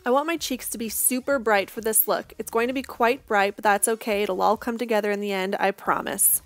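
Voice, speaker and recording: A young woman, hoarse from losing her voice, giving a casual step-by-step makeup tutorial voice-over. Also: mid-range voice, fairly even intonation, a little fast, soft background music. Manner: casual, chatty, reassuring.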